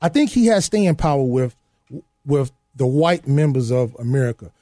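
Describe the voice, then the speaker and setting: A man's voice: medium pitch, lively intonation, normal speed, warm tone, dry recording, studio quality. A man on a political talk panel who talks emphatically, repeats himself and cuts in to make his point. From Illinois. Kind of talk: casual political discussion.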